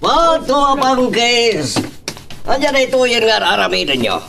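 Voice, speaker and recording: A man acts an arrogant, scheming town mayor with a short temper. His pitch swings widely over slow, weighty phrasing. The accent is Ilocano Filipino, the style radio drama. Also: sing-song, creaky, bright, forceful tone, faint music behind, exaggerated voice. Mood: furious.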